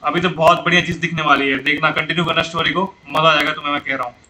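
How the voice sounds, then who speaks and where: Very fast-talking male game streamer. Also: fairly high pitch, steady tone, sharp tone, poor audio. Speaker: game livestreamer. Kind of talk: casual livestream chat. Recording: game music behind the voice.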